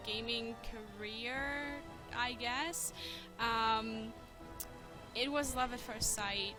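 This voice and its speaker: A German woman talking casually as a let's player, hesitant and filling pauses with ums and shy little laughs. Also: a little high. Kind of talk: casual gaming commentary. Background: game music.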